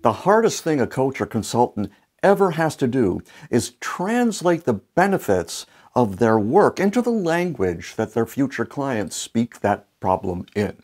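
A man in his fifties hosting a marketing show, speaking to camera in a playful, lively, gently joking way on a lapel mic in a studio. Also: mid-range voice, unhurried. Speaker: marketing coach and show host. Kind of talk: scripted talk to camera. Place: bright studio set.

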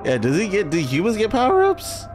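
A man's voice: high-pitched, huge pitch swings, a little fast, music in the background. A young man giving casual, exclamatory, sweary movie reaction commentary, close on the microphone. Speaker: reaction video host. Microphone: close microphone on boom arm, headset on. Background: film soundtrack playing.

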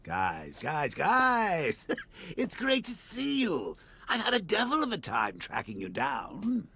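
A man voicing a manic, mocking clown villain in a video game, theatrical and drawling, with a creaky voice. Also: wildly swooping pitch, slow, pushed and bright, exaggerated voice. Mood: mocking glee. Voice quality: high, creaky. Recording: heavy background ambience and noise.

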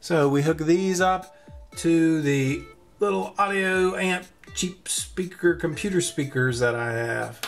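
A man's voice: a little high, fairly bright tone. A man hosting a hands-on electronics tutorial, talking informally and thinking aloud as he works. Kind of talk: casual narrated build demo. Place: indoor electronics workbench.

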